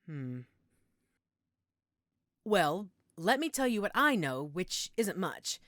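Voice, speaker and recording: A woman playing a middle-aged motherly healer in an audio roleplay, gentle, reassuring and coaxing. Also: low voice, dry recording, clean studio sound. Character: calm motherly werewolf-den healer named Judith, tending a patient.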